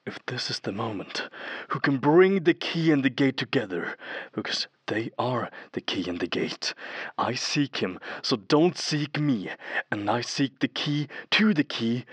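An actor in an audio drama playing a cryptic, ominous occult seeker, delivering a halting, portentous monologue. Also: male voice, medium pitch, slightly rough, dry recording.

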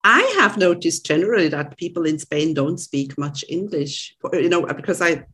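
A woman's voice: deep voice, moderate pace, fairly bright tone, breathy edge. A woman, a podcast guest chatting casually with frequent restarts and fillers. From Switzerland. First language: German. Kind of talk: casual conversation.

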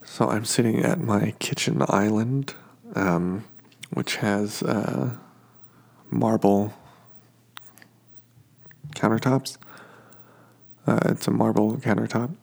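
Slow, gravelly, sniffly man rambling. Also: lowish voice, fairly even intonation, a little mellow. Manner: meandering, halting, many fillers. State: sniffly from allergies. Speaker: sleep podcast host. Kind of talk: slow rambling description for sleep. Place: home kitchen.

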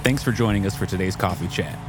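A man's voice: sits low, fast talker. A man reading a scripted podcast outro as an announcer. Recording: voice over prominent music bed. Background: music.

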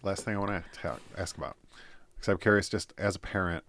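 A man's voice: low-pitched, fairly even intonation, a little fast, fairly bright tone, a little hiss or hum. A man hosting a podcast, asking rambling, laid-back questions and laughing often. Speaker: podcast host. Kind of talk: casual interview.

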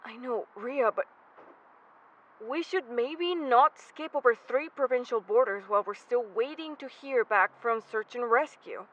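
An actor playing a gentle, anxious young man in an audio drama, speaking hesitantly. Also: very high voice, unhurried, lo-fi sound. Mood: gentle, cautious. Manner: hesitant, stammering.

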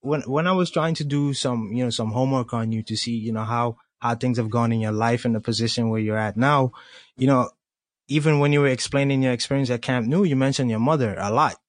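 A man from Colombia, hosting a podcast interview and asking questions in a halting way, full of "you know" fillers. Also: medium pitch, dry recording. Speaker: podcast host.

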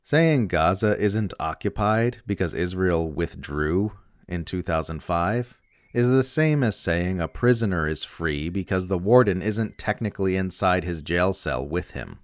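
A man's voice: deep voice, lively intonation, a little slow, no room sound, studio quality. A man reading a written political commentary aloud as scripted narration. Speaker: essay reader.

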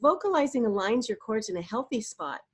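A middle-aged woman, a voice coach, giving animated, explanatory answers in a podcast interview and sometimes demonstrating vocal exercises. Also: a little high, fairly quick, slightly rough.

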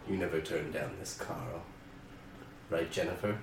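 Man playing a deep, distant, creepy fallen angel. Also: level tone, creaky. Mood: quietly menacing. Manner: slow, deliberate, sinister. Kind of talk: improvised tabletop roleplay. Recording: distant mic, echoey, noisy room.

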